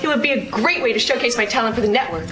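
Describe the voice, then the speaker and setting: A woman's voice: on the high side, expressive, rapid-fire, over music. A woman in a comedy sketch, eagerly promoting herself.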